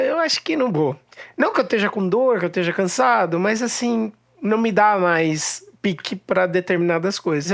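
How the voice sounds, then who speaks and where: A man's voice: sits high, vocal fry. A man in his sixties, an actor, sharing personal thoughts on aging in a recorded testimony. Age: 60s. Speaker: guest.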